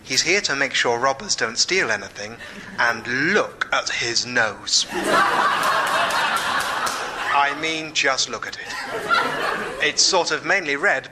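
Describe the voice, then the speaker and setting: A man playing a pompous, deadpan museum audio guide in a radio comedy sketch, in mock-formal narration. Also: a little high, very noisy. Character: pompous museum audio guide spouting five-year-olds' opinions.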